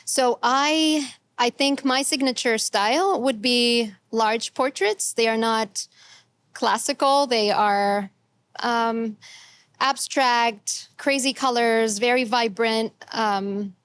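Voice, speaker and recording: A woman from Egypt, an artist guest giving relaxed, chatty interview answers. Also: on the high side, takes it slowly, smooth, dry recording.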